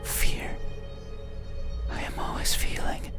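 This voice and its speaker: Guy doing a creepy lonely bedside monster, breathy whisper, real slow and brooding, scary music behind it. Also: natural voice. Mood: brooding, sorrowful. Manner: slow, deliberate, formal, brooding. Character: eerie lonely bedside monster, pleading, resentful, emotionally hollow. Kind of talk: whispered ASMR horror game monologue.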